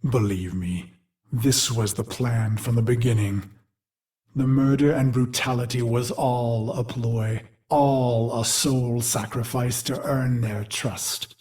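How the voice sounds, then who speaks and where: Slow, brooding warrior machine played by a man. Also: mid-range voice, fairly even intonation, darkish tone, lightly acted. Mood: bitter, confessional. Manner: grave, theatrical, deliberate phrasing. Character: brooding male warrior machine mind recalling his brutal past. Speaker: game character narrator. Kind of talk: dramatic scripted game monologue.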